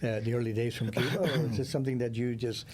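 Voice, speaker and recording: A male host with a dark, mellow tone asks encouraging, leading questions to steer the conversation. A faint hiss of noise sits underneath the recording. His pitch stays in an ordinary middle range. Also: fairly even intonation, fast. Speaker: interviewer, host. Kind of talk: conversational interview.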